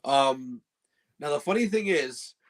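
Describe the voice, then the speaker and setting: A man's voice: fairly high pitch, lively intonation, unhurried. A man co-hosting a casual podcast chat in an easygoing, agreeable way, often echoing his partner and laughing along. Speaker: podcast co-host.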